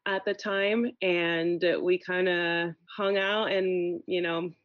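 A woman's voice: on the low side, fairly even intonation, slow, dry recording. Chatty woman guest, dry dull sound. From Venezuela. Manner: chatty, lots of "like" and "you know". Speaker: podcast guest. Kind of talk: casual conversation.

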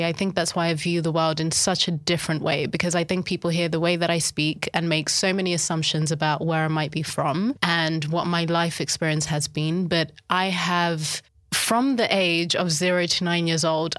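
Low, even-voiced woman from Zimbabwe. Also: no room sound, clean studio sound. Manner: thoughtful, storytelling, unhurried. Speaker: guest, life coach. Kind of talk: reflective interview talk.

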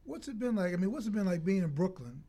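Higher-pitched man hosting a sports interview. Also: very animated, fast, mellow. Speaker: interviewer, sports show host.

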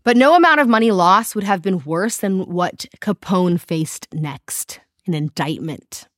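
A woman's voice: lowish voice, unhurried, no echo, studio quality. A South African woman co-hosting a podcast, telling history in casual chat with lots of laughter and playful voices. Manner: giggly, animated storytelling with silly voices. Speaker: podcast co-host.

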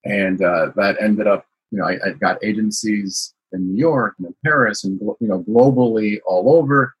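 A man with a very husky, breathy voice sitting lower than most, talking as an interview guest. He is from the United States and tells his story loosely, drifting along with frequent fillers. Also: moderate pace, poor audio. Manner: rambling, frequent "you know" fillers.

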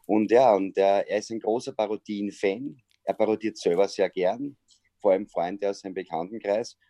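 A middle-aged Austrian man speaks in a low, mellow voice on a dry, close video call. He tells a story easily and keeps pausing to hesitate. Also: steady tone, no echo. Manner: chatty storyteller with frequent hesitations. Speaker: sports journalist and comedian. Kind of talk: casual interview over video call.

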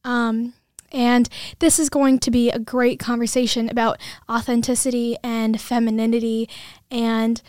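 A woman's voice: a little high, flat delivery, unhurried, a little mellow, clear, resonant tone, dry recording, studio quality. A woman in her twenties hosting a podcast, talking in an earnest, effusive casual way with lots of fillers. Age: 20s. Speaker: podcast host and student.

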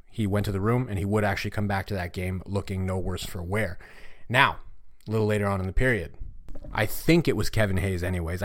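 A man hosting a casual hockey recap podcast, rambling and swearing, while losing his voice. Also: lowish voice. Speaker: podcast host.

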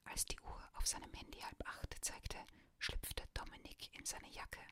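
Slow whispering woman reading a story. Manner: soft steady whisper throughout. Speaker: reader.

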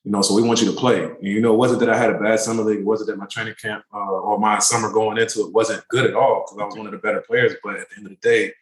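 A man in his thirties, a pro basketball player, telling relaxed, rambling stories in a casual interview. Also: fairly low pitch, rapid-fire, few pauses, roomy sound, poor audio. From born in Turkey, raised in the DC area. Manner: relaxed, rambling, many fillers.

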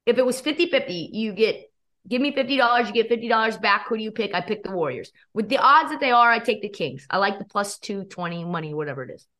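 A young woman guest with a hoarse, worn voice, talking sports and betting in a quick, energetic, opinionated way. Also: medium pitch. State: losing her voice. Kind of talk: casual sports chat.

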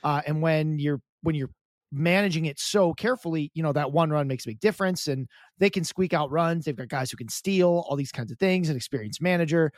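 Chatty, higher-voiced podcast co-host. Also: male voice, a little fast, dry recording, studio quality. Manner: chatty, animated, repeats words and restarts often. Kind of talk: casual sports chat.

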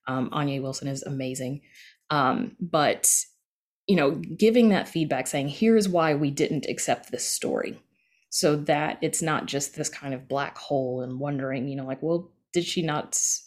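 A woman with a low-pitched voice speaks as a guest in a casual interview. She explains things in a chatty way, often dropping in small conversational asides as she goes. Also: darkish tone. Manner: chatty, frequent "you know" asides. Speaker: interview guest, podcast creator and story editor.

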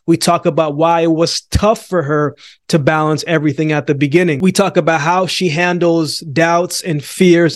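A man's voice: on the high side, no echo, studio quality. A man from Jamaica hosting a podcast interview, earnest and upbeat, often saying "man". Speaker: podcast host.

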